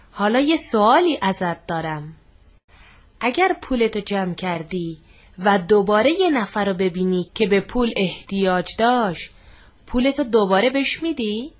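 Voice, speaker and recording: A woman voicing a gentle, loving mother who speaks softly and patiently. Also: mid-range voice, lightly acted. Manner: soft, tender, patient.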